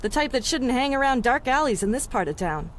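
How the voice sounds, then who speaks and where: Streetwise young-sounding radio-serial heroine, colloquial, expressive high voice, faint noise behind. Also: female voice, fairly quick. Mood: concerned, wry. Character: plucky, streetwise, concerned 1930s city woman. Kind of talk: 1930s radio adventure serial acting.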